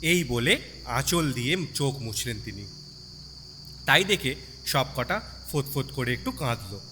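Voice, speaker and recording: A male storyteller reads dramatically, with a bright and very animated delivery. His expressive, sympathetic narration sits in long reverb with night insects faintly behind it. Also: mid-range voice, measured pace, slight background noise.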